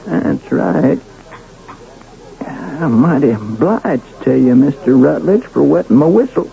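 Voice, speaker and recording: A man's voice: mid-range voice, sing-song, measured pace, creaky, heavily acted. An actor playing a folksy, hesitant Old West townsman with a rustic American Western accent in a radio play. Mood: easygoing, folksy. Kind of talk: old-time radio drama acting. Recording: muffled old radio recording.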